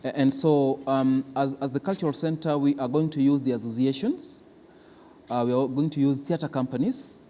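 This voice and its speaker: A man with a Kenyan accent explaining a programme in an interview as an official, deliberate and repeating words for emphasis. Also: mid-range voice, fairly even intonation, dark tone, slight background noise. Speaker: cultural centre official being interviewed. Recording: reverberant hall.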